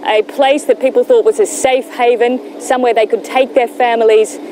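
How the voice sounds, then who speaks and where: A woman reporting live as a news correspondent. Her voice sits on the high side, and she explains in a measured way. There is clear background noise of vehicles on location. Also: steady tone, dark tone, poor audio. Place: on location in Gaza.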